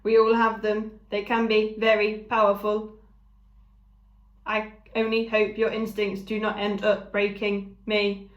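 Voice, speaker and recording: A woman voicing a caring female robot who talks in a slow, measured, even way. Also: mid-range voice, dull audio, natural voice. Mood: calm. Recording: roomy echo.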